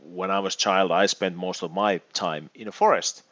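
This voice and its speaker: A middle-aged man from Finland with a Finnish accent, giving thoughtful, measured answers as an interview guest. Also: deep voice, fairly even intonation, a bit bright, no echo, muffled recording. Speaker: guest, EV consultant and educator.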